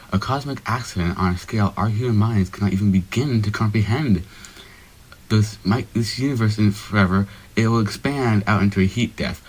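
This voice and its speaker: Man as a low, doom-laden radio pitchman. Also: poor audio. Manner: ominous, portentous, building to intensity. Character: grave, doom-laden existential pitchman for marker pens. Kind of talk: parody radio advertisement monologue.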